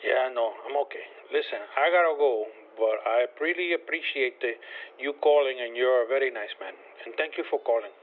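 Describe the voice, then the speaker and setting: A man's voice: mid-range voice, brisk. An older man with a Spanish accent, talking casually, hesitantly and politely on a phone call, often repeating himself. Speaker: call recipient. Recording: tinny narrow phone line.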